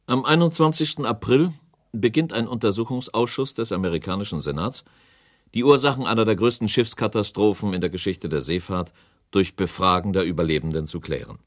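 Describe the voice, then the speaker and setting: A man's voice: lowish voice, a little fast, dry recording, studio quality. A man narrating a radio documentary drama from a script, sober and factual.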